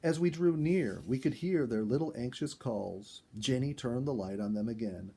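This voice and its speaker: A man reading a first-person animal story aloud in an expressive storyteller's style. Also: mid-range voice, normal speed, creaky, slightly rough. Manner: expressive, acts out dialogue. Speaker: storyteller, reader.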